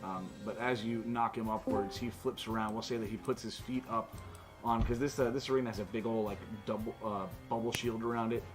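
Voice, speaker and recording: A man's voice: on the low side, fairly even intonation, brisk. A man acting as game master, casually narrating a tabletop role-playing game in an informal way, with some swearing. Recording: music playing behind the voice. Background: music.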